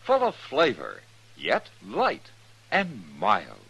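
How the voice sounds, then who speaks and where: A man reading a 1950s radio commercial as announcer, husky, with polished, measured phrasing. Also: medium pitch, huge pitch swings, a bit bright, rough recording. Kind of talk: 1950s radio advertising read.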